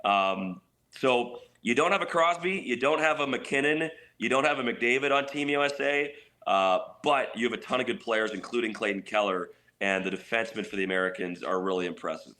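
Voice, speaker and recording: A man's voice: medium pitch, fairly quick, pushed and bright. A man giving enthusiastic, conversational hockey analysis as a guest on a sports radio show. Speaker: hockey play-by-play announcer as guest. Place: at home on a couch, remote call.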